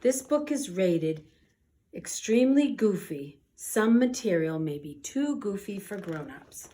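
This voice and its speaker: A woman narrating a children's story aloud in an expressive, dramatic and deliberate way. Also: medium pitch. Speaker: storybook reader.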